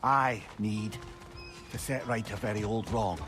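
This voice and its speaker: A man's voice: on the low side, steady tone, unhurried, over music, lightly acted. An actor playing a wise, remorseful older man with a Scottish accent, an eloquent talking-head companion in a video game. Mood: remorseful, grave. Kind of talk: dramatic video game dialogue.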